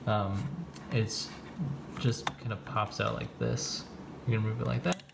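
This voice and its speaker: A man giving a casual, low-key hands-on tutorial in a plain explaining way, on a noisy amateur recording. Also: steady tone, a little slow, gravelly. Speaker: DIY car video host.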